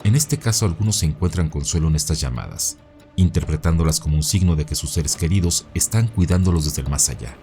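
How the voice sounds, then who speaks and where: Very deep male mystery narrator. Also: animated, a little fast, warm tone, soft background music. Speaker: narrator and host of paranormal mystery videos. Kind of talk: scripted narration of eerie mystery stories.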